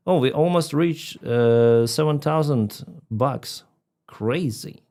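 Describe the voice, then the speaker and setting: A man's voice: medium pitch, slow, darkish tone. A man from Crimea with a Ukrainian accent, whose first language is Russian, chatting casually on a live stream, reading out donations and laughing often. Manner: chatty, laughs often, reads out donor names.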